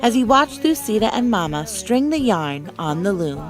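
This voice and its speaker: A woman's voice: mid-range voice, sing-song, normal speed. Woman reading a storybook aloud over music. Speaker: pre-K teacher reading aloud. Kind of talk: storybook read-aloud for young children.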